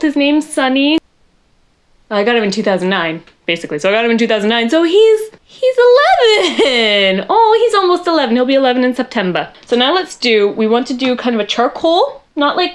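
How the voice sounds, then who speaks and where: A young woman with a loud voice chatting casually in her bedroom while doing a makeup tutorial, rambling and poking fun at herself. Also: high voice. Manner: chatty, rambling, self-deprecating, talks to viewers while working. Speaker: makeup vlogger.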